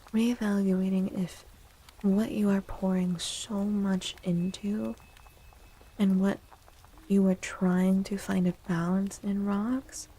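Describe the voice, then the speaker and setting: A woman hosting a soft-spoken ASMR livestream and reading tarot, gentle and reassuring, often slipping into a whisper. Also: medium pitch, creaky, husky, rough recording. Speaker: livestream host and tarot reader.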